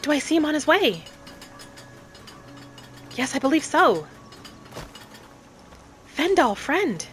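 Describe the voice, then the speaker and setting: A woman narrating a game roleplay in character as a gentle, hopeful young elf adventurer, softly musing aloud. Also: sits high, big pitch swings, takes it slowly, long pauses. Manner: soft, musing, speaking thoughts aloud. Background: music.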